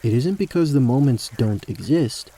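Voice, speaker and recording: A man's voice: mid-range voice, expressive, moderate pace, mellow, breathy edge. A man narrating a fantasy tabletop story in the present tense as game master.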